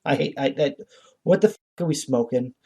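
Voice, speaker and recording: Mellow-toned man, blunt political podcast rant. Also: mid-range voice, fairly quick, breathy edge. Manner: profane, blunt; indignant, exasperated. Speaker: podcast host. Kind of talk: informal political commentary rant.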